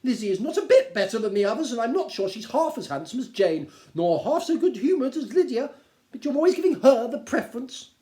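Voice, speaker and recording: A man voicing a fussy, excitable middle-aged wife, gushing and plaintive, in a theatrical reading of a classic novel. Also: very high-pitched, brisk, voice pushed up. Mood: urging, peevish. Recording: roomy, echoing indoor sound.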